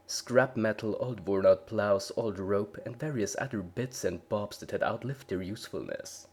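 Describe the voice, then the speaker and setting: Low-voiced man reading a story. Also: a little mellow, slightly rough. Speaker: story reader. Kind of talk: dramatic reading of narration.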